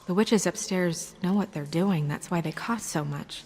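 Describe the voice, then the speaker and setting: A woman playing a prim, serious, no-nonsense woman in charge in a video game, with a slightly husky voice and measured phrasing. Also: lowish voice, darkish tone, in a large hall, slight background noise, close to an everyday voice. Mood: skeptical.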